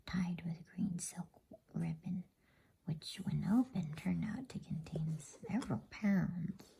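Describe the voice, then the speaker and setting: Casting: female ASMR storybook narrator, hushed and breathy, very dark, soft tone, soothing and unhurried. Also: on the low side, poor audio. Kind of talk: whispered ASMR bedtime story reading.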